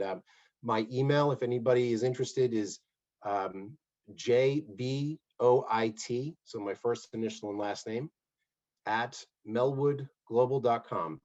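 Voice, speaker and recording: A man's voice: medium pitch, darkish tone. A man giving a friendly, upbeat presentation on a conference call, with frequent fillers. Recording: dull, muffled call audio.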